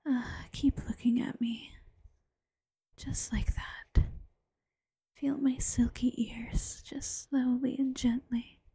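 A woman voicing an affectionate, teasing bunny-girl girlfriend in a slow, coaxing ASMR whisper. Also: high-pitched, flat delivery, warm tone, breathy edge, heavily acted. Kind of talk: ASMR roleplay.

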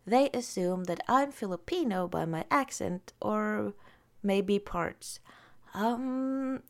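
Norwegian girl reading comments, talks real slow, giggles a lot, soft voice. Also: medium pitch, expressive. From Norway. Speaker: vlogger.

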